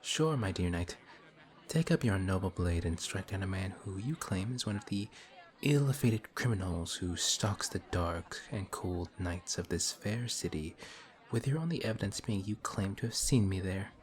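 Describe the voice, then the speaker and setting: A man's voice: low voice, expressive. A man playing a smug, taunting villain. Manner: taunting, slow and drawn out. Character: smug suave male villain, teasing and calm. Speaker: voice actor. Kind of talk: audio roleplay.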